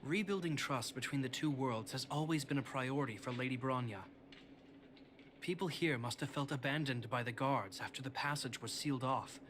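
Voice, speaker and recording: An actor playing an earnest, dutiful young guard captain in a video game, speaking in a formal, composed, diplomatic way. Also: male voice, mid-range voice, normal speed, fairly bright tone, slight background noise, natural voice. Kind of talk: scripted video game voice acting.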